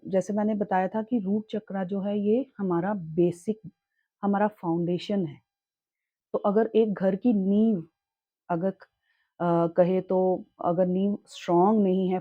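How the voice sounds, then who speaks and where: A young woman teaching in a calm, reassuring way, with a sore throat that makes her clear it often. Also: medium pitch, dry recording, muffled recording. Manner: calm, reassuring, mixes English words into her talk. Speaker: chakra healing teacher. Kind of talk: casual spoken tutorial.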